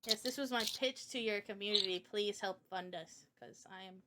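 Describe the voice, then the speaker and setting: A woman's voice: medium pitch, moderate pace, a crowd in the background. A woman streamer and game developer chatting casually with her audience in an informal, slangy way. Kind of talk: casual livestream chat.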